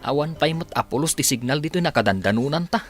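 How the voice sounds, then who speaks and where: A man's voice: fairly high pitch, lively intonation, fast talker, soft background music, close to an everyday voice. A man playing an earnest, dejected young village labourer in a radio drama.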